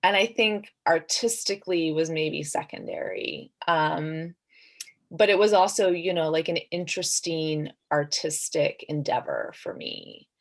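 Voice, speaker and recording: A woman's voice: low voice, measured pace. A woman author talking casually and reflectively on a panel, hedging and saying "like" often as she thinks aloud.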